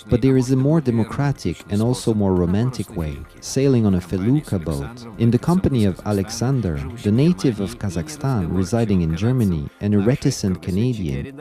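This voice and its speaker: A man reading scripted travel documentary narration in a measured, formal, slightly stiff way. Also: fairly low pitch. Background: music.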